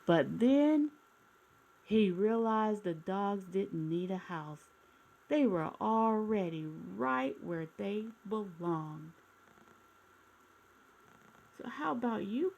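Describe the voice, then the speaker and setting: Female children's storyteller: unhurried delivery, creaky edge, expressive read-aloud that speaks straight to young listeners. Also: mid-range voice, low-quality recording. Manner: expressive, addresses children directly with questions.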